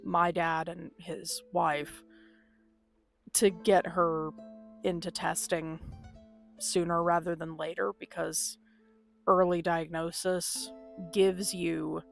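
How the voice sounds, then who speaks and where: A woman's voice: on the low side, flat delivery, slow pace, creaky. A woman live-streaming a game, talking casually and candidly. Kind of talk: casual live-stream chat over gameplay. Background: video game music.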